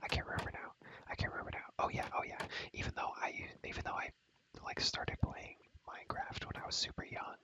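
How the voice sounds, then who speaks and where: Man, breathy whisper, sharp bright hiss, rambling, repetitive, ASMR gaming tour. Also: fairly quick. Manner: rambling, repeats phrases over and over. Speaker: video creator showing a Minecraft world.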